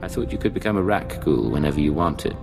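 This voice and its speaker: An actor playing a cool, guarded imperial intelligence agent in a video game, speaking in a terse, composed way. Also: male voice, rumbling low, expressive, quick pace, gravelly, long reverb tail, music in the background, full character voice. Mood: dry, composed. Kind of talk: video game dialogue acting.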